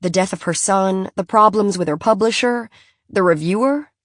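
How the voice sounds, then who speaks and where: A woman's voice: medium pitch, moderate pace, no echo, studio quality, lightly acted. A woman reading an audiobook, voicing a polite female investigator who asks probing questions.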